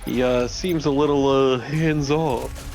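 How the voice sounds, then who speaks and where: A man's voice: mid-range voice, steady tone, slow pace, darkish tone, slight background noise. A man making casual remarks as co-commentator on a video game. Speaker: let's play co-commentator. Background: video game sound.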